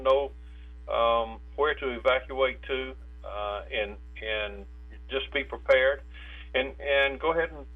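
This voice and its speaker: A man with an American accent giving preparedness advice in a phone interview, haltingly, repeating words and stumbling mid-phrase. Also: medium pitch, breathy edge. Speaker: state insurance director, interviewed guest. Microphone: telephone. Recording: tinny narrow phone line with hum.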